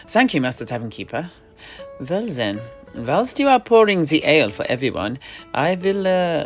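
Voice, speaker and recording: Man playing a lively, courteous knightly storyteller. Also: medium pitch, slight background noise. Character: courteous mercenary knight retelling his grandfather's sea adventure. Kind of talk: in-character fantasy storytelling.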